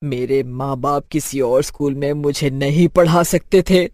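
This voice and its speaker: A man's voice: on the high side, flat delivery, measured pace, a little mellow, dry recording, studio quality, close to an everyday voice. An actor playing the menacing, bitter ghost of a wronged schoolgirl in a cartoon horror story. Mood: bitter, mournful.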